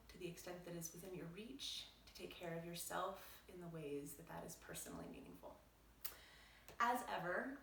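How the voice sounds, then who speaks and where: A woman reading aloud as a doctor explaining things in a measured, articulate, explanatory way. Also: lowish voice, vocal fry, slight background noise. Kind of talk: read-aloud narration with brief asides. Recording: roomy echo, distant from mic.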